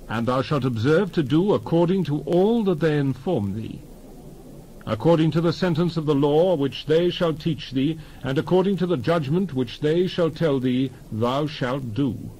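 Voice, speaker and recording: A man giving a dramatized reading of scripture in a solemn, deliberate manner, phrase by phrase with pauses. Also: a little high, warm tone, slight background noise, low-quality recording. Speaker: Bible reader.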